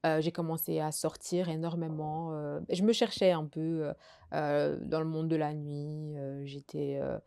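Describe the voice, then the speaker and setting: A young woman from Belgium talks through her life story as a podcast guest. Her voice sits low and mellow, and she pauses often with fillers and restarts her sentences. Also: vocal fry, clear, resonant tone. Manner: hesitant, many fillers and restarts. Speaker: guest sharing her testimony. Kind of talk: casual conversational testimony.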